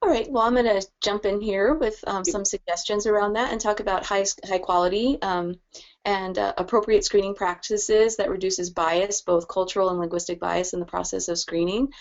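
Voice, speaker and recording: A woman's voice: mid-range voice, steady tone, moderate pace, lo-fi sound. A woman presenting practical advice in a webinar, friendly and explanatory, with light laughs. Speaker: early childhood language specialist presenting.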